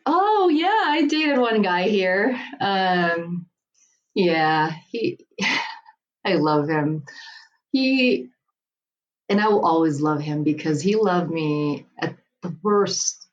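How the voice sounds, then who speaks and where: Low-voiced woman in her 40s, candid chatty guest, laughs a lot, slightly muffled audio. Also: lively intonation, very slow. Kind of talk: casual conversation.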